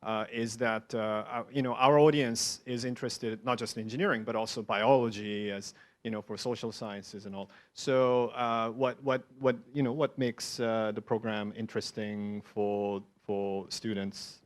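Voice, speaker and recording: A man's voice: on the low side, steady tone, normal speed. A man moderating an online Q&A session, speaking hesitantly with many ums and uhs. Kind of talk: hosting an online Q&A.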